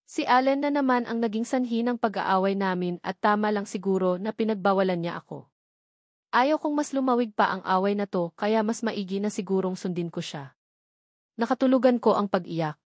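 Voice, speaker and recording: A woman's voice: medium pitch, normal speed, dry recording. Filipino woman narrating, close dry sound. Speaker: audiobook narrator. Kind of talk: scripted first-person novel narration.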